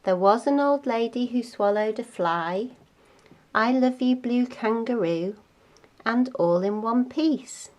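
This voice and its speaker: A woman reading a children's bedtime storybook aloud in a deliberate, expressive way. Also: on the high side, highs cut off.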